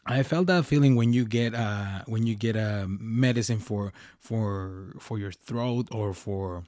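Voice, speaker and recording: A man's voice: fairly low pitch, a little slow, darkish tone, no echo, studio quality. A young man hosting his own podcast, talking casually and rambling with restarts and slang. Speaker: podcast host. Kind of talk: casual solo podcast talk.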